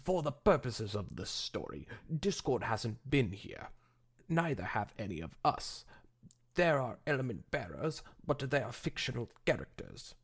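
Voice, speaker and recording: Give this to a man acting as an eager, self-consciously refined female pony writer in a fan fiction reading. The delivery is lively and expository, with a gravelly, husky edge and an affected air of sophistication. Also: mid-range voice, measured pace, full character voice. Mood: earnest pitching.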